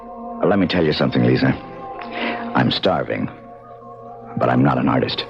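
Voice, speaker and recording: An actor playing a bitter, self-loathing, moody young painter in a radio mystery drama. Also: male voice, rumbling low, expressive, moderate pace, creaky, exaggerated voice. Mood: bitter, sardonic. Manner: sardonic, moody. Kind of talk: 1970s radio mystery acting. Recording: muffled old radio recording with music.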